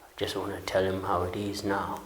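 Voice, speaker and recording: A man performing a dramatic monologue as a bitter, regretful long-serving convict, slowly and with halting pauses. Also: low-pitched, monotone, lightly acted. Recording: echoey room with noise.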